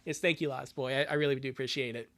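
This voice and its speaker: A man's voice: mid-range voice, fairly even intonation, fast, a bit bright. A man chatting casually on a livestream as an amateur impressionist, rambling and stammering with jokey asides. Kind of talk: casual livestream chat and reading aloud.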